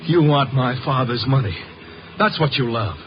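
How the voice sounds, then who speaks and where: A man's voice: medium pitch, normal speed, close to an everyday voice. A man acting an unstable, lovesick young man in a 1950s radio drama, melodramatic and intense, repeating his phrases. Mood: bitter, paranoid. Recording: muffled, noisy old radio recording.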